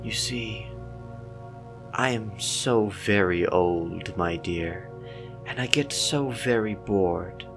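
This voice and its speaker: A man voicing an ancient female cosmic deity in a horror story, bored and sweetly menacing, with drawn-out, condescendingly tender delivery. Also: on the low side, gravelly, over music, pitched above the performer's own voice. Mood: bored, musing. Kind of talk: dramatic horror story reading.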